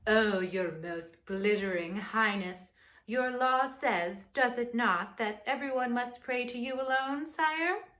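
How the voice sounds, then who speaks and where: A woman voicing scheming male royal helpers in a storybook, with pompous, fawning flattery. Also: on the high side, slow.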